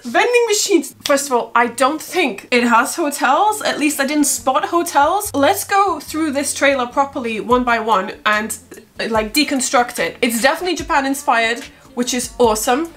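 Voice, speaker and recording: The kind of excitable woman you'd hear on a gaming reaction video, high-voiced and bunged up with a cold, music playing faintly along. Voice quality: nasal, stuffed up from a cold. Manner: excitable, exclaiming. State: has a bad cold. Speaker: gaming vlogger reacting to a trailer. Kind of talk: excited reaction and casual commentary.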